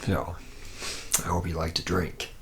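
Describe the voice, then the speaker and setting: A man's voice: low voice, completely flat delivery, unhurried, vocal fry, slight background noise. A man playing a charismatic, hard-drinking senior robot mechanic in an ASMR roleplay, rambling in a loose, laid-back way. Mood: rambling, casual.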